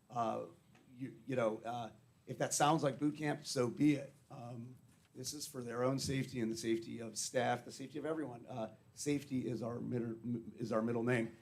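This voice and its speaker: Guy talking straight at a county meeting, bit hoarse, no-nonsense. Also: medium pitch, steady tone, a little fast, darkish tone. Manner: blunt, plain-spoken. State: slightly hoarse. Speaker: treatment facility director. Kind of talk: public meeting testimony.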